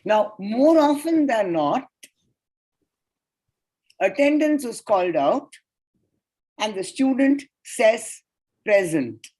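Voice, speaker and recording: Woman w tamil as first language, talking slow and breathy, giving a paper over a video call. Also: on the high side, big pitch swings, warm tone, rough recording. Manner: measured, deliberate lecturing with enumerated points. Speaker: conference paper presenter. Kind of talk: academic conference presentation. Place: online conference session.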